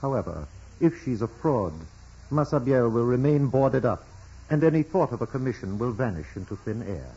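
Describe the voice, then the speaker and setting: Husky, imperious old-radio bishop. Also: male voice, mid-range voice, animated, mellow. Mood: shrewd, imperious. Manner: measured, pompous, emphatic. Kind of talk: 1940s radio drama acting. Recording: muffled vintage radio broadcast recording.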